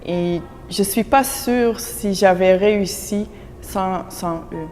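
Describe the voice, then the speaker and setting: A woman from Belgium whose first language is Dutch speaks in an interview, earnest and reflective, with frequent fillers. Her voice sits at a middle pitch. The room is echoey and there is faint background noise. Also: slow pace, darkish tone. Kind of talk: on-camera interview.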